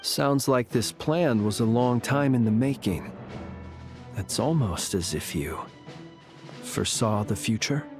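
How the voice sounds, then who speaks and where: An actor playing a composed, thoughtful companion in a video game, dry and understated. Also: male voice, mid-range voice, expressive, unhurried. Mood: thoughtful, reserved. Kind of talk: video game dialogue acting. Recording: music behind the voice.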